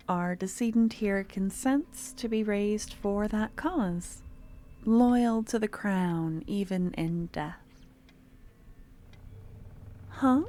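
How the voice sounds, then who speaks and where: Woman playing a slow, soft-spoken, secretly obsessive girl. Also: medium pitch, slight background noise. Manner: soft-spoken, often dropping to breathy whispers. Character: eager, clingy young female necromancy student, secretly obsessive stalker. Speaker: lab guide teaching embalming. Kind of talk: ASMR audio roleplay.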